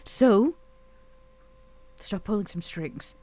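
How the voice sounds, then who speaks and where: A woman roleplaying a proud, guarded, bitter vampire woman in a tabletop game, speaking curtly and deliberately with intense pauses. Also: mid-range voice, animated, warm tone, slight background noise. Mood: commanding.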